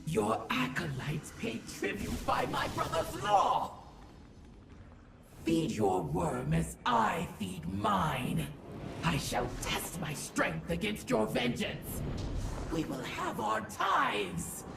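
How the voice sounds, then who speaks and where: An imperious alien war goddess declaims slowly and grandly, as a game villain. Her deeply gravelled voice rings with echo from far off, over music. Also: sing-song, full character voice. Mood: menacing challenge. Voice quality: gravelly, echoing. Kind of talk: dramatic video game villain monologue. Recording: echoing, distant, music underneath.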